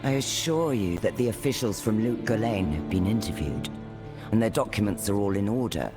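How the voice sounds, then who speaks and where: An actor playing a stern, formal and guarded city guard commander in a video game, speaking in a measured way. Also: male voice, fairly low pitch, animated, music in the background, close to an everyday voice. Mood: guarded, official.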